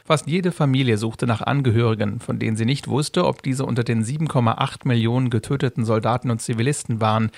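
A man's voice: mid-range voice, no echo. A man reading scripted narration as a reporter in a radio feature.

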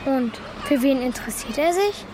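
A woman's voice: sits high, expressive, normal speed, background noise. A woman playing a nosy, cheeky and blunt schoolgirl in a German children's radio play.

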